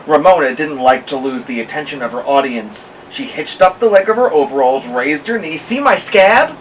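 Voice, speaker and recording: A man reading a children's story aloud with expressive narration. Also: medium pitch, a little fast. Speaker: storybook reader. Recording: distant mic, slightly dull, faint noise.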